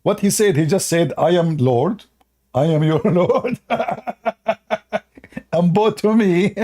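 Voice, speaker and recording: A man's voice: sits high, takes it slowly, mellow. Sarcastic man mocking a clip, laughing. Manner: sarcastic, laughs often, broken English grammar. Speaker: reaction video host. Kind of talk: mocking reaction commentary.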